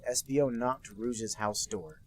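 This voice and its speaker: Husky young man doing a mock-serious reading. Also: medium pitch, unhurried. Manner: mock-serious with dry asides. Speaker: podcast co-host, narrator. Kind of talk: comedic dramatic reading.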